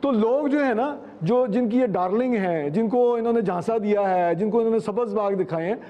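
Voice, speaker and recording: A man's voice: sits high, brisk, highs cut off. A man, giving his political commentary in a panel discussion.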